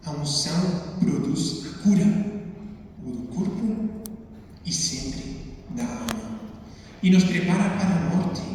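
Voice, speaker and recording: Male priest, native Spanish speaker with a Spanish accent, higher-pitched voice, sermon delivery in phrases, very echoey church. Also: a little mellow. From Spain. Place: large reverberant church interior. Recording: very echoey church, distant mic, faint noise.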